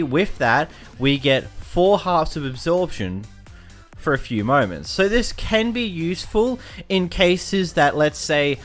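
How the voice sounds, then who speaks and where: Australian man, young adult, gaming commentary, bouncy lively pitch, faint music behind. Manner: chatty, self-mocking, stumbles over reading. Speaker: gaming YouTuber. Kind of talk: casual game commentary.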